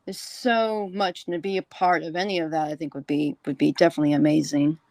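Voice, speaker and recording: A woman's voice: sits low, fairly even intonation, brisk, darkish tone, no room sound. A woman hosting a casual interview, speaking in a hesitant, rambling way. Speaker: podcast host, interviewer.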